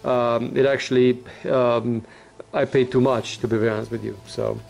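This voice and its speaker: A man's voice: mid-range voice, little pitch movement, moderate pace, creaky, darkish tone, lo-fi sound, soft background music. A man giving a casual, unscripted product review in an informal, blunt, rambling way, like a vlogger.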